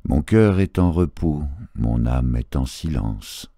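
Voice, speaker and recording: A man reciting poetry in a solemn literary style, measured and phrase by phrase, with pauses. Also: extremely low pitch, expressive, warm tone, dry recording, clean studio sound. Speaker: poetry reader.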